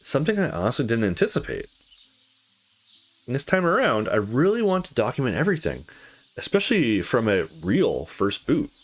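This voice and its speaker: A man reading visual novel dialogue aloud in a casual, expressive way, as a let's play host. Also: medium pitch, normal speed.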